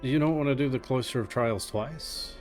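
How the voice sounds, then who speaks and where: A man's voice: medium pitch, moderate pace. A man giving casual live commentary on a speedrun in a jokey, sarcastic way. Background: video game music.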